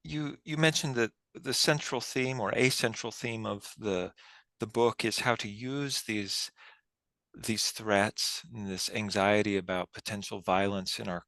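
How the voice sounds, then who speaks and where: A man with a fairly low voice hosts an informal interview. He speaks tentatively, restarting and repeating words as he shapes each question, and the sound is fairly dry. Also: vocal fry, sharp tone, dry recording. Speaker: host and interviewer at a book reading.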